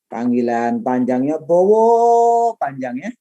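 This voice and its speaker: The mellow-voiced older man at the front of the first class of term, chatting with students about himself before the syllabus starts. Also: mid-range voice, unhurried. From Madiun, East Java, Indonesia. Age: middle-aged, late 50s. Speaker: lecturer and trainer. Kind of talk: informal class introduction, chatty autobiographical talk.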